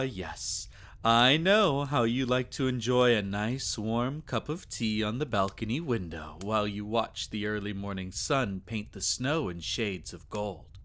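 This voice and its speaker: A man voices a vampire lord in a romantic audio roleplay, doting and a little possessive. His voice sits on the low side and moves very slowly. He speaks intimately, teasing his beloved with soft chuckles and sighs.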